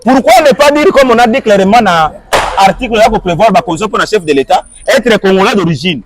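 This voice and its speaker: A man's voice: high-pitched, lively intonation, a little fast. A man answering an interviewer, arguing his point with repeated rhetorical questions and switching between French and Lingala. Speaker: guest.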